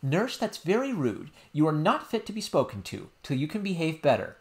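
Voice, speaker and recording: A man doing a high, earnest little princess. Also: wildly swooping pitch, moderate pace, darkish tone. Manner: earnest, pleading. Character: upset young girl princess, earnest and proud. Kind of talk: audiobook character voice.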